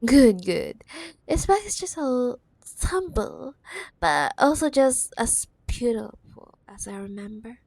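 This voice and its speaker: A woman's voice: fairly high pitch, very animated, slow pace, vocal fry, darkish tone, dry recording, heavily acted. A woman playing a possessive, teasing cyberpunk CEO ex-girlfriend in an ASMR audio roleplay, condescending, drawing out her words and pet names. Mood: gloating admiration.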